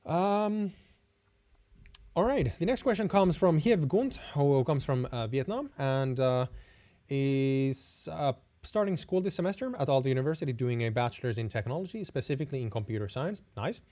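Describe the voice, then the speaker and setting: Mellow-voiced Finnish man hosting a live Q&A. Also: mid-range voice, animated, moderate pace. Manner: friendly, hesitant, rambling with many restarts. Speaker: host. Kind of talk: live stream Q&A.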